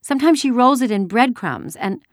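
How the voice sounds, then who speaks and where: A young storybook girl, acted out by a woman, pitched high with lively swoops. She chatters pertly and sounds bold and indignant, in a close, dry recording. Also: normal speed, no room sound, natural voice. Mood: chattering, giggly. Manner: silly chatter, pert and indignant. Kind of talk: audiobook character dialogue.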